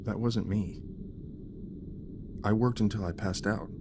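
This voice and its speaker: A man's voice: on the low side, measured pace, noisy background, lightly acted. An actor playing an exhausted young father in an audio drama, placating and puzzled, in an understated conversational way. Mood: defensive, puzzled. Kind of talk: naturalistic audio drama acting.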